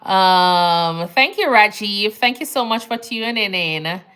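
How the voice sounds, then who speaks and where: A woman's voice: mid-range voice, slow, smooth. A woman from Jamaica with a Jamaican accent, chatting casually on a livestream and reading out viewer comments. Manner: relaxed, chatty, laughs easily, Jamaican phrasing. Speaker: nurse livestream host.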